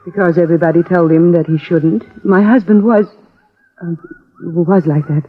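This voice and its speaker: An actress playing an anxious, genteel wife of a missing planter in an old-time radio drama, hesitant and restrained. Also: female voice, fairly low pitch, fairly even intonation, moderate pace, husky. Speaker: supporting actress. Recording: tinny, noisy vintage radio.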